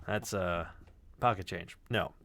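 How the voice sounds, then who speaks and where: A man talking casually as a podcast sound designer, telling stories in an animated, sweary way. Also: sits low, slow. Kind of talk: casual chat and storytelling.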